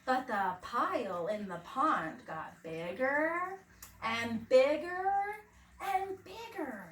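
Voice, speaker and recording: Very slow, high-pitched woman reading to children. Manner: expressive, dramatic emphasis, asks young listeners questions. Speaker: preschool teacher reading aloud. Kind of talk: children's picture book read-aloud. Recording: roomy, distant mic, faint background noise.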